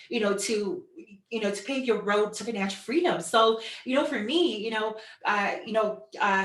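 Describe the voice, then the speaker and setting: A woman from Samoa giving an informal online business presentation with personal storytelling, in a halting, repetitive way full of "you know". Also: mid-range voice. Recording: echoey room, distant microphone.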